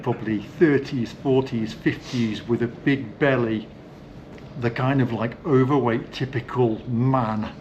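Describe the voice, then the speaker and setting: A man's voice: mid-range voice, darkish tone, slight background noise, poor audio. A man with a British accent hosting a casual vlog, chatting loosely with plenty of fillers as he walks through a hotel and sauna, recorded on a handheld camera. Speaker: vlog host. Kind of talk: casual walking-tour vlog. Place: indoors, hotel and sauna cellar.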